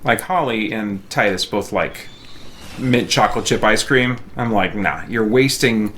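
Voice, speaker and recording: A man's voice: on the low side, measured pace, a bit bright, a little hiss or hum. A man streaming a game, chatting casually and muttering to himself in a distracted way. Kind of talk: casual chat while gaming on a live stream.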